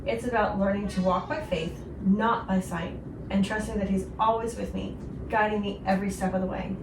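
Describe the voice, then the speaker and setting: A woman's voice: mid-range voice, fairly even intonation, moderate pace. Echoey, distant woman hosting devotional radio. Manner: earnest, gentle encouragement. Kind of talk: devotional radio talk with co-host. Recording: roomy echo, distant, clearly noisy.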